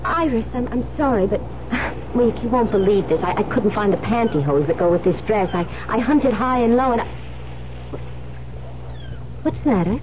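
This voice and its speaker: A woman's voice: on the high side, lively intonation, moderate pace, close to an everyday voice. Actress as a frightened young woman, dated noisy radio recording. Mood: uneasy. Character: frightened young bride under police guard, wary and protesting. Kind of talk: old-time radio drama acting. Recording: dull, noisy old radio broadcast recording.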